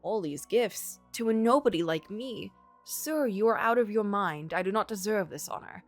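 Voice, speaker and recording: A struggling, self-doubting gentleman writer from a story game, read by a woman. He speaks formally with expressive rises and falls, over faint music. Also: mid-range voice, normal speed, close to an everyday voice. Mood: disbelieving. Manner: formal, literary, wondering. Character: poor, doubting, melancholy male writer narrating his misfortune.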